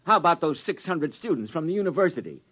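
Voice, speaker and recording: Casting: grandiose, placating senior scientist, highish animated voice, pompous old-time radio delivery. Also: male voice, fast. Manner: pompous, declamatory. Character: self-satisfied male senior scientist, grandiose, placating glory-seeker. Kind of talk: 1950s radio drama acting. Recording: vintage radio recording.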